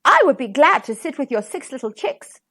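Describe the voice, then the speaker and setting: A woman's voice: sits high, very animated, a little fast, fairly bright tone. A woman voicing an earnest, sensitive male wolf in a children's story, theatrical and eager to be liked. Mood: sweetly polite. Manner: polite, theatrical.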